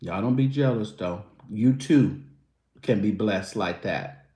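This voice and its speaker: A man's voice: fairly low pitch, takes it slowly, warm tone, reverberant. A man hosting his own show, chatting loosely and casually with his viewers. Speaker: show host. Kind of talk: casual livestream chat.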